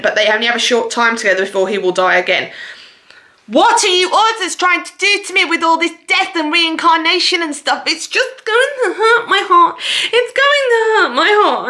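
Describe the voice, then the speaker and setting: A woman with a fairly high, very bright voice chats casually as a book vlogger. Her delivery is gushing and expressive, in a loose, conversational monologue. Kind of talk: casual chatty video monologue about books.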